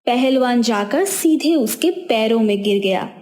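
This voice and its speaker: Slow expressive woman storyteller. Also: on the high side. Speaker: narrator. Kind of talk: storybook narration.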